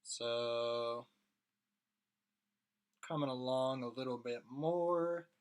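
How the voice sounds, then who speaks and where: A hoarse young man rambling casually to his viewers while he draws on a live stream. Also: medium pitch, extremely slow. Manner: rambling, chatty, talks to chat viewers. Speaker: streaming artist.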